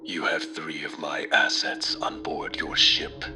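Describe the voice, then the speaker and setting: A man playing a calmly threatening crime boss in a radio drama, breathy and deliberate, with coldly polite manners. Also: extremely low pitch. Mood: cold menace. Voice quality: breathy, half-whispered. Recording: music behind the voice.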